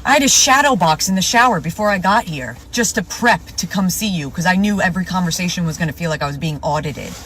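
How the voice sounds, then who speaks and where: Sardonic woman, exasperated comic monologue, brisk, bright tone, faint background noise. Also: on the low side, expressive, poor audio. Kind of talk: comedic monologue.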